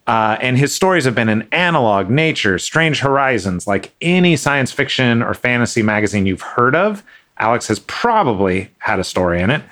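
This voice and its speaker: A man's voice: mid-range voice, big pitch swings, normal speed, fairly bright tone. A man hosting a podcast, introducing a guest and chatting in a friendly way, with many fillers and restarts. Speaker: podcast host. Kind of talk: casual interview chat.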